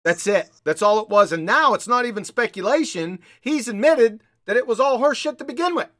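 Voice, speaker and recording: A man's voice: extremely high pitch, expressive, brisk, a bit bright, dry recording, studio quality. A man hosting a live panel commentary, mocking and emphatic, hammering home short repeated phrases. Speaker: host of a live debunking show.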